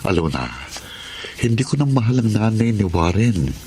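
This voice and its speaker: A man's voice: lowish voice, big pitch swings, moderate pace, a little mellow, slight background noise. An actor playing an older lecherous stepfather in a Tagalog radio drama, coaxing and insinuating with false sympathy.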